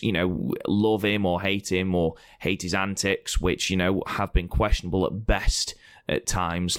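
A man with a very low, hoarse and croaky voice talks in casual podcast banter. He is blunt and opinionated and swears freely. The sound is dry and close, with no room around it. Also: fairly bright tone, dry recording. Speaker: podcast co-host presenting award results.

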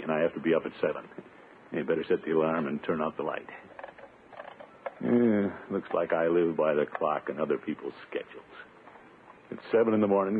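An actor playing a playful, grumbling middle-aged bachelor uncle in a blustery 1950s radio sitcom style. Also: male voice, deep voice. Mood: weary griping. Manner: blustery, wheedling, theatrical grumbling. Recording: muffled, narrow-band 1950s radio recording, hiss.

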